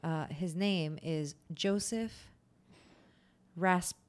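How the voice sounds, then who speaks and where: A woman's voice: fairly low pitch, extremely slow, a little mellow. A woman co-hosting a podcast in casual chat, with a soothing voice and a self-deprecating, laughing manner. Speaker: podcast co-host.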